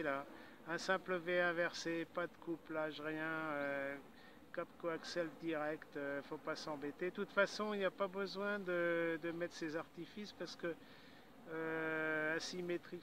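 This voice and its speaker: A man's voice: a little high, pushed and bright, a little hiss or hum. A man chatting casually as an amateur radio operator, talkative and hesitant, dotting his talk with interjections.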